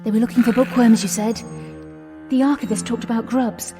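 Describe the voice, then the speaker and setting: An actress plays a young Englishwoman of the 1920s, high in pitch and anxious, yet resolute. She speaks urgently with an English accent, in radio-drama style. The space rings with long reverb, and music plays underneath. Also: steady tone, fairly quick, lightly acted. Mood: tense, fearful. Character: frightened, resolute young Englishwoman, trapped job seeker.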